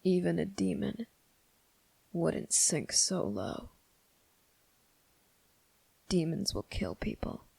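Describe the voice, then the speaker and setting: An actor playing a brooding, menacing demon with a hidden moral code in an intimate ASMR roleplay, speaking in slow, deliberate, taunting phrases with a gravelly, at times breathy voice. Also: medium pitch, sing-song, dark tone, no room sound, full character voice. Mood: grave, warning. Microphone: close, dry microphone.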